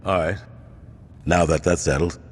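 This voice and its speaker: An actor playing a stern, cold gang boss in a game cutscene, speaking in curt, authoritative orders. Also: male voice, low voice, steady tone, measured pace, in a large hall, heavy background noise. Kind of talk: video game cutscene acting.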